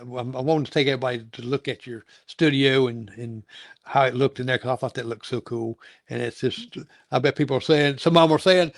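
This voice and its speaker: Guy hosting a casual interview, mid pitched voice, rambles and repeats himself, real folksy, no room echo. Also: studio quality. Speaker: talk show host and interviewer.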